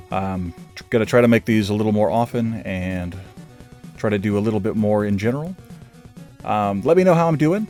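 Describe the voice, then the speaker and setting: A man giving a casual, rambling film review, self-deprecating and loose, with plenty of fillers. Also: on the low side. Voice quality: says his own voice is normally monotone. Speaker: movie reviewer.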